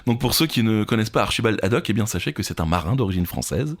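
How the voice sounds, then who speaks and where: A man's voice: lowish voice, lively intonation, fast. A man hosting a quiz podcast in a casual, playful way.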